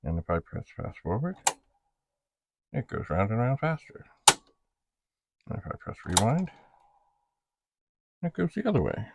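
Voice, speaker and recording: A man's voice: medium pitch, gravelly, noisy background. A man chatting casually as a video host, rambling and thinking aloud as he shows an item. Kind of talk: casual unboxing chat.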